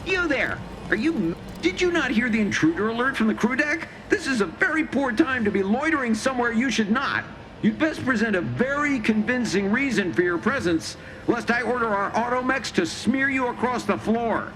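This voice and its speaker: An actor voicing a haughty, threatening station officer in a video game, pompous and wordy in manner. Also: male voice, very high-pitched, lively intonation, normal speed, background noise. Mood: indignant, threatening. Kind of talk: theatrical video game acting. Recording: clear background machinery ambience.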